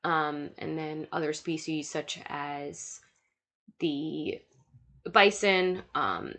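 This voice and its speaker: A young woman delivers a recorded slide lecture in a fairly low voice. She is sick, so her tone is stuffy and nasal. She works through lists of points steadily, with small fillers between them. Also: drawn-out words, fairly bright tone. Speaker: student lecturer.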